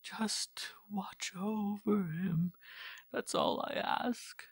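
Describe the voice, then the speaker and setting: A man voicing an anguished French mother who pleads in slow, breathy, strained whispers. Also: fairly high pitch, a little mellow, no room sound, studio quality, voice pushed up, exaggerated voice. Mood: desperate pleading.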